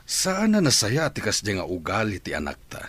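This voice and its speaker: A man's voice: on the low side, huge pitch swings, brisk, a bit bright, slightly rough, dry recording, full character voice. A man playing a serious, concerned father who speaks disapprovingly in a radio drama. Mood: stern concern. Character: concerned, stern father discussing his daughter's manners. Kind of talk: radio drama acting in Ilocano.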